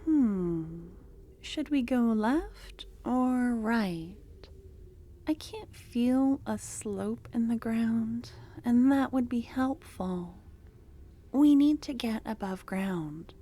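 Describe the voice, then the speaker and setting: A young woman voicing an obsessive, possessive female cultist in an ASMR roleplay. She talks in a soft, close murmur that drops into whispers, and her tone is doting and unsettling. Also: on the high side, expressive, very slow, exaggerated voice. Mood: urgent, hopeful.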